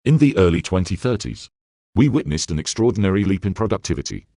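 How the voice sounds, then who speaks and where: A solemn prime minister addresses his citizens in a formal, grave tone, as a man plays him. His deep voice rises and falls in wide swings. The sound is close and dry. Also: big pitch swings, fast talker, mellow, no echo, full character voice. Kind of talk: scripted narration of a future scenario.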